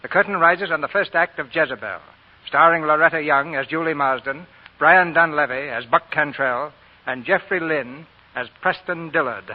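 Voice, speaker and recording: A man's voice: fairly high pitch, normal speed, slight background noise. A man narrating as a 1940s radio host in polished, dramatic scripted phrasing. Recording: muffled old 1940 radio broadcast.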